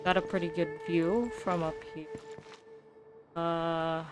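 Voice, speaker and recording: Slow, lowish-voiced woman gamer. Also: little pitch movement, loud music behind the voice. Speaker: game streamer. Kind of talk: casual gameplay commentary.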